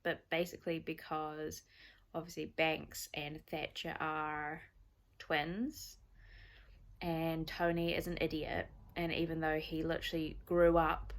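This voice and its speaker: A woman's voice: low-pitched, a bit bright. A young woman with a New Zealand accent chatting casually in a reading vlog, rambling and self-deprecating. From born in Scotland, raised in New Zealand. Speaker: book vlogger.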